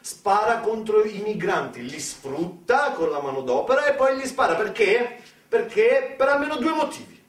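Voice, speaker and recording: A man performing a dramatic theatrical storytelling monologue, emphatic, with deliberate pauses and repetitions. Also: sits high, very animated. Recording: roomy, distant mic, faint background noise.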